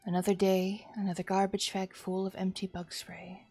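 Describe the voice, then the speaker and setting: A woman streamer reading a horror visual novel aloud as expressive narration. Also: medium pitch, moderate pace, slightly rough. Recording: music behind the voice. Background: music.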